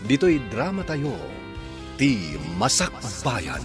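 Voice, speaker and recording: A man narrating and announcing an Ilocano radio drama in a theatrical, measured style. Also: medium pitch, wildly swooping pitch. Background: music.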